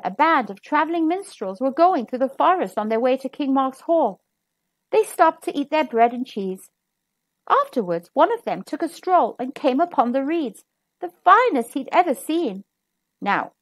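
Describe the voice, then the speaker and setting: Female storybook narrator: expressive, emphatic, very lively intonation, dry close recording. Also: high-pitched, no room sound. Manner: dramatic, emphatic. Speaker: storybook reader. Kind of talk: expressive children's read-aloud narration.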